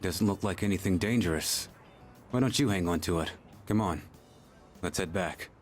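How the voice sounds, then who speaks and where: Guy doing a gruff loner game character, deep voice, mutters short lines, soft underneath, faint music. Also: moderate pace, natural voice. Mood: gruff, caring. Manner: curt, muttering, laid-back. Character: gruff, aloof young tough guy with a soft spot. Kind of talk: English video game voice acting.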